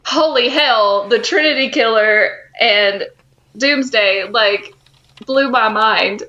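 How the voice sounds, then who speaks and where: Woman podcast host, unhurried n halting, bright voice, bit of a muffled lo-fi recording. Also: on the high side. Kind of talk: casual banter about a TV show.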